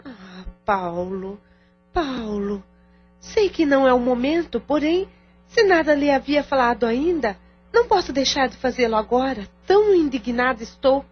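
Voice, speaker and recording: A woman's voice: sits high, lively intonation, normal speed, breathy edge. A Brazilian woman playing a stern, indignant but supportive middle-aged sister in an audio novela. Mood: indignant, reproachful. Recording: dull lo-fi audio with music bed.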